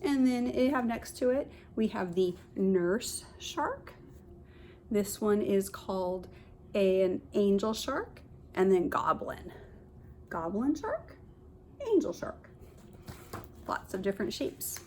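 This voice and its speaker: A woman's voice: mid-range voice, expressive, slow, long pauses, a little mellow. Woman teacher reading aloud, noticeable background noise. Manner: explains and points things out to children in simple words. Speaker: kindergarten teacher. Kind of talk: picture-book read-aloud with explanatory asides for young children.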